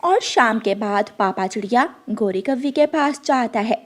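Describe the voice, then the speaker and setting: High-pitched expressive woman storyteller. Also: measured pace. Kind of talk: expressive scripted children's story narration.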